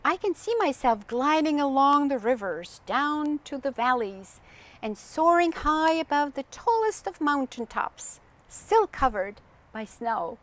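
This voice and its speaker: A dreamy young storybook girl, played by a woman reading aloud, wistful and wondering. Her voice is fairly high and swoops freely, and she lingers slowly over her words. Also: big pitch swings.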